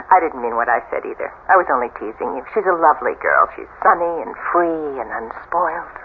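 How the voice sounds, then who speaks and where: An actress playing a sardonic, worldly sister with an arch, teasing manner in a 1970s radio drama. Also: female voice, very deep voice, sing-song, brisk, exaggerated voice. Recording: tinny, band-limited old radio with faint hiss.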